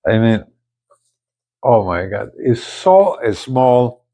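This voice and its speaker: A man presenting a casual unboxing video, speaking haltingly and often repeating his words. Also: medium pitch, very animated, dark tone. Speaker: gadget reviewer, video host.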